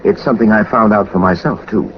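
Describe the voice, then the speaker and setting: Noisy 1940s radio show, actor doing a calm polite detective guy, lowish voice, proper measured talk. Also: lo-fi sound, lightly acted. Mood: urgent. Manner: formal, courteous, measured phrasing. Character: composed, methodical gentleman sleuth, a former captain. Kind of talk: 1940s radio drama acting.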